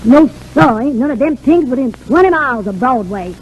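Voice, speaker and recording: A woman's voice: sits high, animated, moderate pace, breathy edge. A woman playing a sassy, blunt theater maid with folksy speech in a 1940s radio drama. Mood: blunt, unimpressed. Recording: dull, noisy old radio recording.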